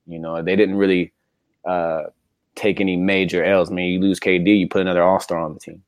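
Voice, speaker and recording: Deep-voiced laid-back man chatting sports. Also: level tone, fairly quick, no room sound. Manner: laid-back, slangy, conversational. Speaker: sports podcast co-host. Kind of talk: casual sports chat.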